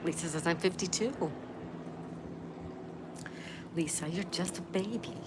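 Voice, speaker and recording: Low-voiced woman in her sixties, noisy background. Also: unhurried. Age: 60s. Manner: chatty, greets viewers and repeats phrases. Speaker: livestream host. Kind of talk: casual live chat, reading viewer comments aloud.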